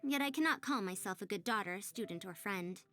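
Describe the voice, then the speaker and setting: A woman's voice: mid-range voice, lively intonation, brisk, a bit bright, natural voice. A woman playing a prim, proud but kindly young-sounding researcher in a video game, speaking formally and articulately in wordy, old-fashioned phrases. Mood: regretful. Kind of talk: scripted video game character dialogue.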